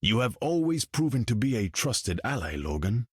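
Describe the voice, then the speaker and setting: An imperious ruler of the deep for a video game. His husky voice swoops through grand, formal phrases with pompous pride, recorded close and dry. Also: big pitch swings, normal speed, dry recording. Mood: proud, aloof. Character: haughty imperious undersea king, proud conqueror. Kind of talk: video game character dialogue.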